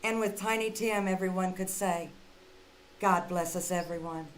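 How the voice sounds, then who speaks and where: A woman playing a brisk, kindly lady in the style of 1940s radio drama. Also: lowish voice, a little hiss or hum, lightly acted. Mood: earnest, hopeful. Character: Charlotte, brisk kindly woman, scolding then hopeful. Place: live community theater stage performance.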